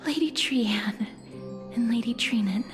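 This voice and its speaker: An actress playing a gentle, wistful young woman in a video game, speaking tenderly and softly with measured phrasing. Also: a little high, lightly acted. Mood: wistful, grateful. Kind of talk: scripted video game voice acting. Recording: prominent music behind the voice.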